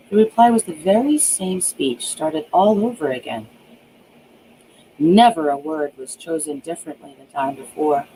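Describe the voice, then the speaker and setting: A woman reading her own novel aloud as an audiobook, in expressive narration with small stumbles. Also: medium pitch, slight background noise, rough recording.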